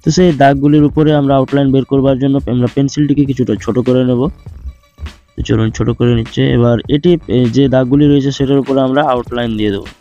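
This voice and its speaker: A man narrates a screen tutorial at a brisk clip, calling his viewers friends. His voice is mellow and dark. The recording is dull and lo-fi, with faint music underneath. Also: mid-range voice. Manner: steady instructional, addresses viewers as friends. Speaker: tutorial host.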